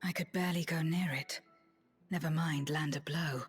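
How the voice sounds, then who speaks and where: Woman as a hushed, low-voiced serious warrior. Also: fairly even intonation, normal speed, lightly acted. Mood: troubled. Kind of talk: dramatic video game acting. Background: game music.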